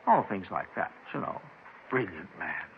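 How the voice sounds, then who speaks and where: An actor playing a rambling, eccentric robbery suspect with a querulous, folksy American delivery in a 1950s radio drama. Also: male voice, sits low, big pitch swings, normal speed. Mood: rambling, admiring. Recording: tinny, noisy 1950s radio recording.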